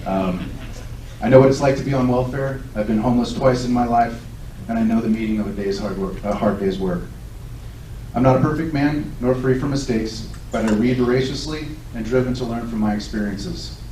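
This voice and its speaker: A man's voice: mid-range voice, brisk, warm tone. A man in his forties from Southern California, speaking as a candidate at a public forum in an earnest, self-assured way. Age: 40s. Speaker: political candidate. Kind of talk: candidate forum speech and answers. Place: large echoey hall at a public event. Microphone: recorded from a distance by a tablet. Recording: echoey hall, distant mic, faint noise.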